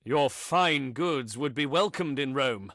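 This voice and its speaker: A man's voice: medium pitch, animated, takes it slowly, fairly bright tone, no room sound, clean studio sound. A man playing a proud, easily offended Roman envoy in a video game, with pompous, formal, oratorical delivery. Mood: respectful, diplomatic. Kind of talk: theatrical video game diplomacy voice lines.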